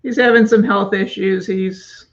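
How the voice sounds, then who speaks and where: A woman's voice: mid-range voice, fairly even intonation, a little slow. A woman in her fifties co-hosting a livestream, chatting freely and laughing often during a question game. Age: 50s. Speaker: co-host of a livestream. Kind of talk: casual question-game chat. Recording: dull lo-fi stream audio.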